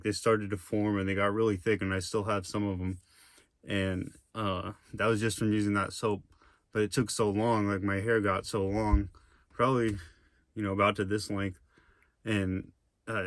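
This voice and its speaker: Young male vlogger, low-pitched, dry close sound, rambling and casual. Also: fairly bright tone, no room sound. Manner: rambling, with many fillers and tangents. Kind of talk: casual vlog monologue.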